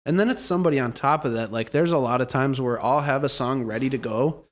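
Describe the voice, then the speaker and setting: A young man, a musician guest on a podcast, talking in a loose, slangy, fairly monotone way with plenty of swearing. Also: mid-range voice, fast. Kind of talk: casual podcast chat.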